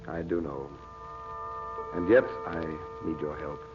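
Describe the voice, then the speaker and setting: An actor playing an earnest, troubled magician addressing his master gravely and respectfully in an old radio drama. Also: very deep voice, fairly even intonation, slow pace. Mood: troubled, pleading. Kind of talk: 1930s-40s radio drama acting. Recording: muffled old radio recording with music.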